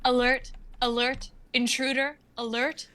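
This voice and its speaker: Cold, high-voiced woman playing a laboratory AI. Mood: impassive. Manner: flat, measured, robotic announcements. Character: female laboratory AI, cold, obedient, impassive. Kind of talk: live dramatic script reading.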